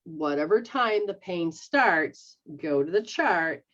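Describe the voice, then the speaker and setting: A woman's voice: fairly low pitch, animated, slow pace. Chatty middle-aged woman on a dull line. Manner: rambling, explains step by step, checks understanding with "okay?". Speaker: metaphysical practitioner host. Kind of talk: casual instructional chat.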